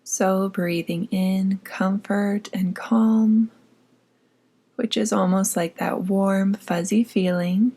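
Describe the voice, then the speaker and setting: Female meditation guide, medium pitch, slow and soothing, soft breathy delivery verging on whisper. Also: steady tone. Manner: soothing, unhurried, gently instructing. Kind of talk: scripted guided meditation narration.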